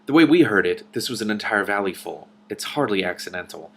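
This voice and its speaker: A storybook young woman, read by a man, frank and matter-of-fact with a worried streak. The pitch sits fairly low, and faint noise runs behind. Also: animated, brisk, gravelly, fairly bright tone. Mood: worried, explanatory.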